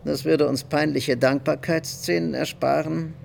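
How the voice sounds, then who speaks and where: Radio play role: scheming bourgeois gentleman, higher-set voice with heavy creak, faint noise behind. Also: steady tone, unhurried. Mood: calculating. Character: scheming bourgeois Parisian husband, Monsieur Verdurin. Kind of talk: German radio drama dialogue.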